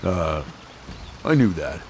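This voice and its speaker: A man's voice: low voice, big pitch swings, takes it slowly, slight background noise, full character voice. A man playing a dim, brash villain henchman in a video game, blunt, slangy and grumbling. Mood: dim bravado. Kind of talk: animated video game acting. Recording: loud jungle game sound effects behind.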